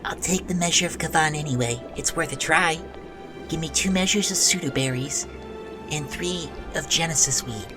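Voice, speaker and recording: A woman acts out a determined fantasy adventurer with a fairly low voice. The delivery is casual and matter-of-fact, brisk and practical, with music clearly playing underneath. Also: natural voice. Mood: determined, businesslike. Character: brisk, determined adventurer buying potion ingredients. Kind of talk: fantasy audio drama acting.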